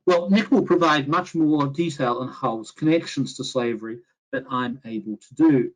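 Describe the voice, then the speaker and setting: Professor with a mixed antipodean-transatlantic accent. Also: male voice, medium pitch, rough recording. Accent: mixed New Zealand, Australian, English and American. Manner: measured, with frequent "uh" fillers and self-corrections. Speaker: history professor giving a webinar talk. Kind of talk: academic lecture.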